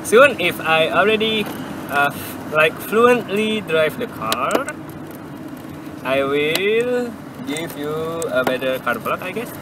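A young man with an Indonesian accent chatting casually for a vlog while driving, haltingly repeating and correcting his words. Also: on the high side, lively intonation. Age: young adult. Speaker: vlogger. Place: inside a car with windows open, driving. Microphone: camera propped on the dashboard. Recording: clear car and traffic noise.

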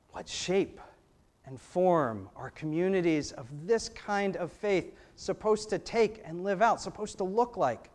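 Conversational American pastor at pulpit. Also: male voice, a little high. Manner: conversational preaching with rhetorical questions and animated hand gestures. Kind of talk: sermon. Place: church sanctuary at a pulpit. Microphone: gooseneck pulpit microphone.

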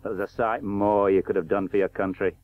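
Radio play: actor as bitter, blunt working-class veteran cricketer, sardonic and colloquial, tinny old broadcast sound. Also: male voice, low-pitched, level tone, a little fast, natural voice. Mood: resigned, reproachful. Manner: plain-spoken, sardonic, colloquial. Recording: tinny narrow-band 1980s radio broadcast.

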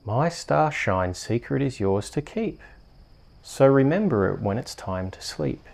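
An Australian man with a mellow, slightly creaky voice reads a bedtime story for ASMR. His delivery is slow, soft and soothing, as a host settling listeners down for sleep. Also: mid-range voice, lively intonation, a little hiss or hum. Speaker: host reading bedtime stories on a video channel.

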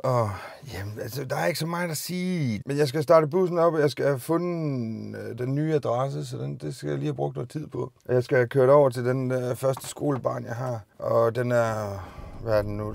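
A man's voice: mid-range voice, vocal fry, a little mellow, no echo, clean studio sound. A middle-aged Danish bus driver, rambling and musing aloud in a casual vlog recorded inside his minibus. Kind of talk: casual vlog monologue while driving. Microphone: phone or dashcam on the dashboard.

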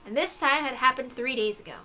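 Bright, high-voiced woman reading a story. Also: fast, faint music behind. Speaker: narrator of a visual novel read-through. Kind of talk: expressive scripted narration.

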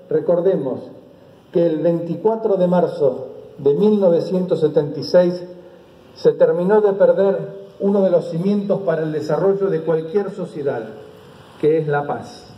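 A middle-aged man giving a formal commemorative speech, reading solemnly in short measured phrases into a stand microphone outdoors. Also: fairly high pitch. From Argentina. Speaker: speaker at a memorial ceremony. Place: outdoors on a town green by a street. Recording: dull outdoor recording with echo and faint ambience.

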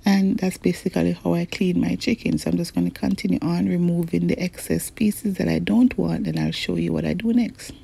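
A young woman, a cooking channel host chatting viewers through a recipe in a relaxed, friendly voice-over. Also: lowish voice, brisk, creaky, few pauses, breathy edge. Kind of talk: cook-along voice-over narration. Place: home kitchen.

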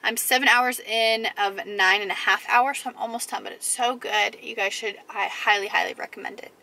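A young woman vlogging casually to the camera, her voice a little hoarse from a cough, chatty and enthusiastic with many fillers. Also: mid-range voice, fairly even intonation, normal speed, bright, forceful tone, rough recording. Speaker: lifestyle vlogger. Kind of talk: casual vlog chat to camera. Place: parked car, then in a large store and a parking lot. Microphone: camera on dashboard or handheld at arm's length.

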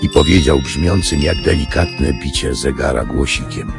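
A man's voice: extremely low pitch, moderate pace, creaky. A man narrating a scripted fairy tale as the storyteller of an audio drama. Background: music.

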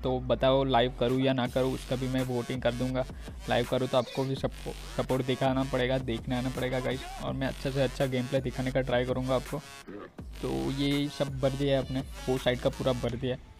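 A man's voice: mid-range voice, monotone, fairly quick, darkish tone, soft background music. A man with an Indian accent giving casual, informal commentary on a video game, talking directly to his viewers. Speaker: gaming video creator.

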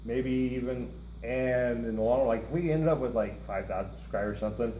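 A man's voice: medium pitch, a little fast, dark tone. A middle-aged man co-hosting a music reaction show, chatting casually in a rambling way with joking asides. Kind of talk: casual chat. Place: indoor room, seated at a table before a lit curtain. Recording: roomy room with faint hiss.

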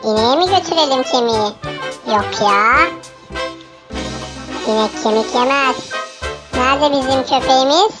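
Really high voice hosting a little kids game, playful n coaxing, asks questions, kiddie music behind. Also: unhurried, pauses often. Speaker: host of a children's animal game video. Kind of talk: playful guided game commentary for small children. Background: children's music.

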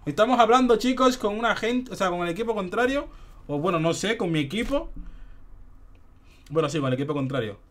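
A man's voice: sits high, lively intonation, a little fast, a little hiss or hum. A young man from the Republic, chatting casually as he streams a shooter game, slipping English words in. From Dominican Republic. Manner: chatty, laid-back, mixes English words in. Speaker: gaming streamer. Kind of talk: casual gameplay commentary. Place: home room, indoors.

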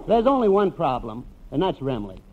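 A man's voice: fairly high pitch, big pitch swings, moderate pace, heavy background noise. A man playing a brash, cheerful band pianist in a 1950s radio comedy. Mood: deadpan cheerful. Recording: thin, tinny old radio phone call.

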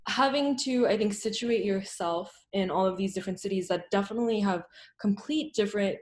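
A woman's voice: mid-range voice, a little fast, darkish tone. A young woman from the United States, an artist talking loosely with many ums and likes on a video call from home. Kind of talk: casual panel discussion. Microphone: laptop mic on a video call.

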